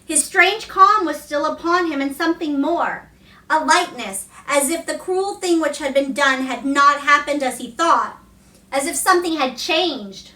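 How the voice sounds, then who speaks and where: High, bright woman reading a story aloud. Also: measured pace. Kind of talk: read-aloud storybook narration. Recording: echoey room, distant mic.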